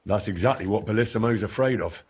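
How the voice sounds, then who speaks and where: An actor playing a practical, sceptical young male adventurer in a video game, down-to-earth, with a husky voice. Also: on the low side, fast talker. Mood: earnest. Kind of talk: video game character dialogue.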